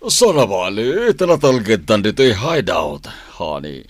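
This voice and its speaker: A man's voice: fairly low pitch, sing-song, slow, dry recording, exaggerated voice. A man with an Ilocano accent playing a self-assured villain boss in a radio drama. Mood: confident.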